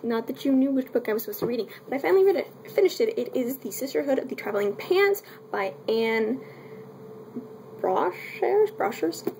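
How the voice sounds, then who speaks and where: High-voiced woman with a creaky edge. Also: very animated, brisk, darkish tone, a little hiss or hum. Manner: candid, irreverent, mildly sweary, wry asides. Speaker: book reviewer. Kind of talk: casual unscripted video book review.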